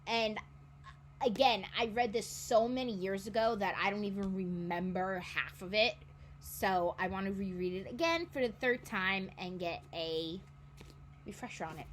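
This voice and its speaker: A woman's voice: mid-range voice, very noisy. Rambling young woman chatting about books. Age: 20s. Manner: rambling, self-correcting. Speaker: book vlogger. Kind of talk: casual chat.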